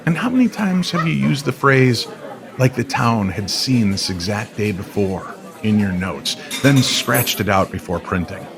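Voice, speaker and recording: A man playing a weary, enigmatic stranger in a radio drama, speaking in a calm, measured way. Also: on the low side, vocal fry. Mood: knowing, grave. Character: weary, cryptic male stranger who knows hidden truths. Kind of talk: old-time radio drama acting. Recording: crowd chatter behind the voice.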